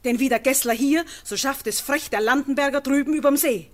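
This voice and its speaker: A resolute farmer's wife, played by a woman in an old radio drama. She speaks verse earnestly, urging and persuading with calm conviction. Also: high voice, brisk, lightly acted. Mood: persuasive.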